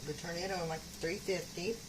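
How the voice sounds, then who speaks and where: A woman's voice: lowish voice, steady tone, moderate pace. An older woman with a sore throat, chatting homily as she cooks in her kitchen. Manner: homey, chatty, talks while cooking. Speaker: home cook. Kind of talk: casual cooking vlog. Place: home kitchen. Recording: loud kitchen sizzle noise. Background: frying and sizzling food.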